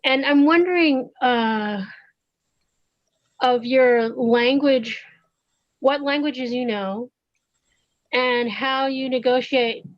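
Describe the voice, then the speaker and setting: A woman poet asking a panelist a question, halting and searching for her words. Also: sits high, no room sound, dull audio.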